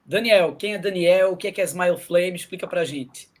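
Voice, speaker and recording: A man's voice: high voice, normal speed. A man hosting a casual online talk, introducing his guests in a friendly, informal way. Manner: friendly, informal, uses slang like "velho" and "mano". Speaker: host.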